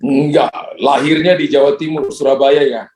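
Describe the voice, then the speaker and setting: A man's voice: a little high, fairly even intonation, moderate pace, darkish tone, roomy sound. A man, a pastor, praying prophetic words over callers and chatting with them in a joking, pastoral way. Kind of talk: prophetic prayer and ministry to callers.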